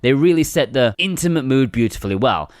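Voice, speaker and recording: Animated male game reviewer, dry close sound. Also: mid-range voice, a little fast, dry recording. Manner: wry, conversational asides. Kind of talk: scripted review narration.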